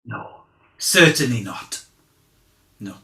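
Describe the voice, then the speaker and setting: Man acting as fisherman disciple, earnest witness, bright tone, echoey room, halting conversational delivery, courtroom drama. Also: mid-range voice, very animated, heavily acted. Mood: earnest, hesitant. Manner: hesitant, conversational testimony. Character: earnest Galilean fisherman disciple testifying as eyewitness.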